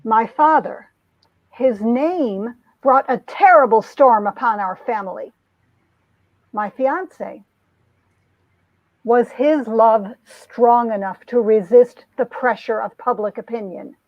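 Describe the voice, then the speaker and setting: A woman's voice: a little high, slow, dry recording. A woman playing an earnest young small-town heroine telling her story in a romance comic reading, on dull lo-fi audio. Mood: dramatic, hurt.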